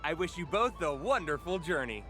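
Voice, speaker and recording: 语调起伏大的游乐设施小哥. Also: male voice, a little high, very animated, moderate pace, fairly bright tone, soft background music. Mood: polite, helpful. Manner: showy announcer patter slipping into casual bewilderment. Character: chirpy young male fairground ride attendant reciting script. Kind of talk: video game story dialogue.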